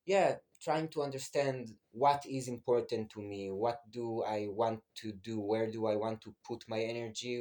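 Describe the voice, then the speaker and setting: A man's voice: lowish voice, darkish tone. A man in his twenties with a Hebrew accent, speaking English as a second language in a reflective personal vlog, hesitant and searching for words. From Israel. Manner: hesitant, many fillers and restarts, searching for words. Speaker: vlogger.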